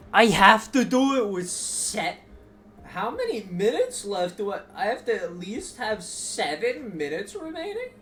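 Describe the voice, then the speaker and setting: Slow-paced man streaming a video game. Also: high voice, a little hiss or hum. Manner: self-talking, drifts between exclamations and muttering. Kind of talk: casual gameplay commentary, muttering to self.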